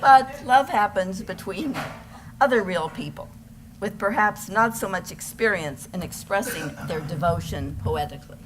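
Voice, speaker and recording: A woman's voice: medium pitch, expressive, normal speed. A woman reading scripted narration aloud as a presenter in readers theater. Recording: live recording with clear background noise.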